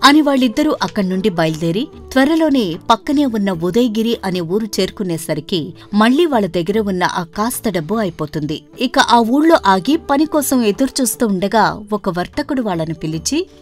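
Woman narrator reading a moral story, medium pitch, music playing behind. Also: animated, moderate pace. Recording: voice over background music. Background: background music.

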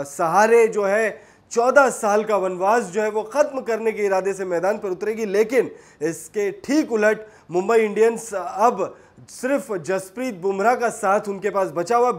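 A young man presenting a sports news bulletin to camera in a studio, emphatic and repetitive, standing with his hands pressed together. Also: high-pitched. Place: studio in front of a screen.

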